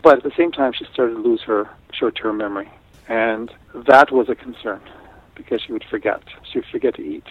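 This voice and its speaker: A middle-aged man from Canada, Italian as his first language, talking in a thoughtful, unhurried way with frequent restarts in an interview. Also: mid-range voice, husky. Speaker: guest artist. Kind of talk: casual interview talk. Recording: tinny phone line.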